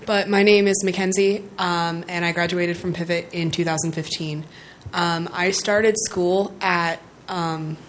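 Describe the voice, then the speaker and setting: A woman in her twenties, hoarse from losing her voice, giving an earnest public comment at a school board meeting. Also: fairly low pitch, slight background noise. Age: 20s.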